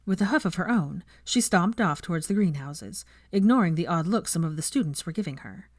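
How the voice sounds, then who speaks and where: Female audiobook narrator, lowish pitch, very varied intonation, scripted storytelling, close dry recording. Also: lively intonation, a little fast, no room sound. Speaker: audiobook narrator of fan fiction.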